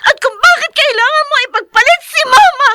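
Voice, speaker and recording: An actress playing a grieving, resentful daughter in a radio drama, tearful and pleading, with a Filipino accent. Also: female voice, extremely high pitch, a little fast, bright, forceful tone, no echo, clean studio sound, heavily acted. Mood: angry, tearful. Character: grieving, resentful daughter hurt by father's remarriage.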